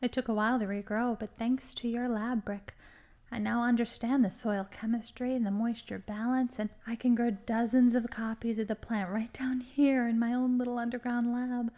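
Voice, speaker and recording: Actress as a high-voiced scheming female villain. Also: fairly even intonation, normal speed, close to an everyday voice. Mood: gleeful, menacing. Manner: theatrical, gloating storytelling with hushed dramatic emphasis. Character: scheming female villain confessing her criminal plot, smugly menacing. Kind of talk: audio drama acting.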